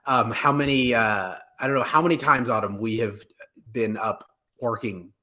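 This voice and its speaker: A man in his forties co-hosting a casual panel chat, joking and animated, often interrupting himself mid-sentence. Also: medium pitch. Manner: jokey, animated, halting with self-interruptions. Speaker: co-host of a pop culture live show. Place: home room, indoors, video call.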